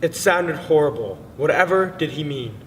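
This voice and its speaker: A man's voice: fairly high pitch, lively intonation, normal speed. A man giving a solemn, dramatic narration of a literary story. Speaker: dramatic reader. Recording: distant, long reverb, music behind.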